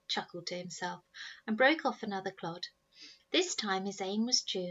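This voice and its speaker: A woman narrates a children's storybook with a bright, clear-cut edge to her voice. She reads expressively, as a teacher does to pupils, at a middling pitch. The recording is a little dull and lo-fi.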